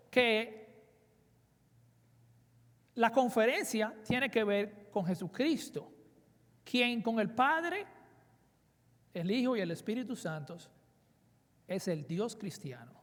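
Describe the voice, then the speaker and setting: A man's voice: very high voice, lively intonation, slow pace, pauses often, fairly bright tone. A man in his forties from the Dominican Republic with a Dominican Spanish accent, preaching earnestly at a conference and weaving in personal stories. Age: 40s. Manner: earnest, emphatic, with personal anecdotes. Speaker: conference speaker. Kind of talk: sermon. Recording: reverberant hall.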